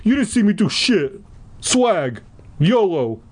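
Man putting on a high, yelling schoolboy bully. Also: big pitch swings, slow pace, slightly rough, full character voice. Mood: defiant. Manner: yelling, mocking slang. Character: loud brash foul-mouthed schoolboy bully.

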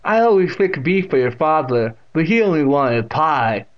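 A man voicing a leering old deaf great aunt in a storybook, loud, slow and deliberate. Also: on the high side, big pitch swings, voice pushed up. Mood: blunt, probing. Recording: dull lo-fi audio.

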